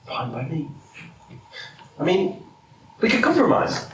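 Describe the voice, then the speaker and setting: Actor playing hesitant male mathematician, wry, exasperated, lively pitch, stage drama, off-mic with faint noise. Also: slow, echoey room, recorded from a distance, poor audio. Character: wry, hesitant male mathematician needling his stubborn rival. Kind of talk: stage-style two-hander drama dialogue.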